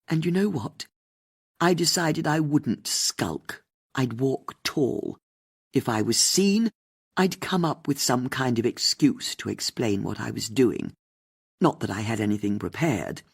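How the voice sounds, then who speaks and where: An older, upper-class English lady as acted out by an audiobook narrator, haughty and easily indignant. Her delivery is very lively and swoops up and down. She gives out brazen opinions with open disdain. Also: mid-range voice, gravelly, slightly rough, heavily acted. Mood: self-assured. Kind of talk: audiobook character dialogue.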